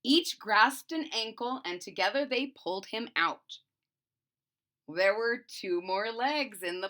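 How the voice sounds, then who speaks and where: A woman storyteller reading a children's picture book aloud expressively, pausing to recap it in simple words. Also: on the high side, pushed and bright.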